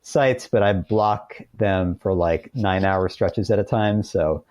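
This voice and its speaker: A man's voice: lowish voice, unhurried, a little mellow. A middle-aged American man speaking as a guest author in a conversational interview, explaining things earnestly. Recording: dull lo-fi call audio, roomy echo.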